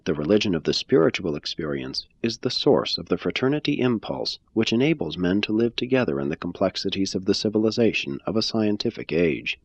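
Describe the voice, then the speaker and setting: Husky low-voiced man reading aloud. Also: sing-song, a little fast, few pauses, no room sound, dull audio. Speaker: reader. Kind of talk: scripted reading of a religious text.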